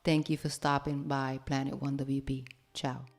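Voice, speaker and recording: A woman's voice: extremely low pitch, flat delivery, normal speed. A woman hosting a music production tutorial, talking to viewers in a casual, chatty, informal way. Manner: informal, chatty, addresses viewers as "you guys".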